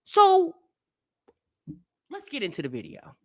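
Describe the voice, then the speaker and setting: A woman's voice: high-pitched, wildly swooping pitch, slow, fairly bright tone. A woman hosting a reaction channel, chatting casually to her viewers, talking straight at them and repeating herself for emphasis.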